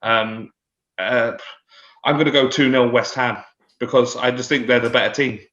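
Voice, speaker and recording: A man's voice: mid-range voice, fairly even intonation, moderate pace, a bit bright. A man giving his views in a casual football chat, hesitant and often starting over. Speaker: guest pundit. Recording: dull roomy remote line.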